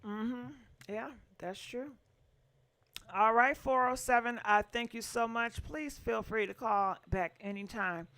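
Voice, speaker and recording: A woman's voice: on the high side, steady tone, slow, fairly bright tone. A woman hosting a casual call-in show, chatty with many fillers as she prompts her callers. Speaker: host.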